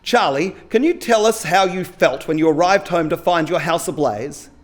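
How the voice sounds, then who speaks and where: A man's voice: a little high, normal speed, voice pushed up, natural voice. A man reading the part of an earnest middle-aged television reporter in a story, in formal reporting manner.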